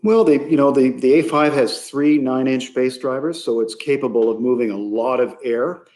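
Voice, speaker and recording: A man speaking as an interview guest, explaining a product in a measured way with pauses mid-sentence. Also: mid-range voice, darkish tone. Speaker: interview guest, loudspeaker company sales executive.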